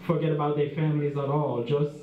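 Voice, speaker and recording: A man's voice: on the high side, little pitch movement, a little fast. A young man from Haiti, giving an earnest, plain-spoken slide presentation as a guest speaker at a dinner. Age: young adult. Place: large dinner hall. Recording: roomy, distant, dull sound, faint hiss.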